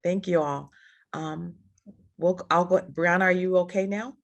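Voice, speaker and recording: A woman with a low voice welcomes everyone to an online event over a video call. She speaks hesitantly and leans on frequent fillers. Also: fairly even intonation, a little slow, dull audio. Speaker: event organizer. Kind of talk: welcoming remarks.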